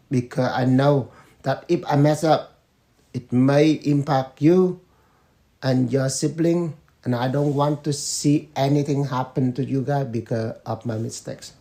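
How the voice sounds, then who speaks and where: A man, answering family interview questions in slow, measured phrases with pauses. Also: medium pitch, darkish tone. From Vietnam. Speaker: interviewee, father.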